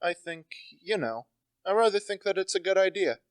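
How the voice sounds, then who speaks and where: Breezy, confident gentleman played by a young man. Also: high-pitched, lively intonation, moderate pace, no room sound. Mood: genial, considering. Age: teenager. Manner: breezy, assured, clipped questions. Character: brisk, confident, well-educated gentleman flat-hunter. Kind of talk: amateur radio play acting.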